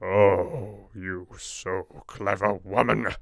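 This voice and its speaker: A man's voice: deep voice, steady tone, drawn-out words, creaky, no room sound, clean studio sound, pitched below the performer's own voice, full character voice. A man voicing a courtly, earnest older foreign doctor with formal, quaint phrasing, as in an audiobook. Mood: grateful. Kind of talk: audiobook character dialogue.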